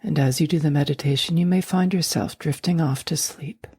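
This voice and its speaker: A low, mellow woman's voice guides a sleep meditation, soft and slow. Much of it drifts into a breathy near-whisper. The sound is close and dry, with no room around it. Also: no room sound.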